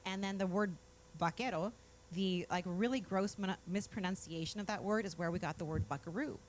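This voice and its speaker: A woman's voice: mid-range voice, brisk, rough recording. A woman with a mid-range voice hosts a morning talk show in a bubbly, chatty way, eagerly explaining word histories. She is from Mexico. There is a faint noise under the recording. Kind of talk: casual studio chat.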